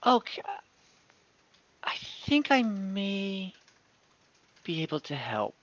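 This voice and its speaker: A woman's voice: mid-range voice, sing-song, takes it slowly, dull audio, voice pushed up. A man voicing a worldly brothel madam who offers answers for a price, with a teasing, businesslike manner.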